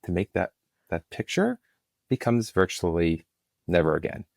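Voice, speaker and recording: A man's voice: deep voice, sing-song, moderate pace, gravelly, darkish tone, dry recording, studio quality. A middle-aged man speaking as a producer being interviewed, answering in an earnest, emphatic, conversational storytelling way. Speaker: guest, film and podcast producer.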